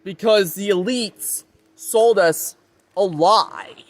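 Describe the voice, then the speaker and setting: A man's voice: high voice, takes it slowly, no room sound. A man giving a rambling, informal monologue to viewers, repeating his phrases for emphasis. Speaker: vlogger.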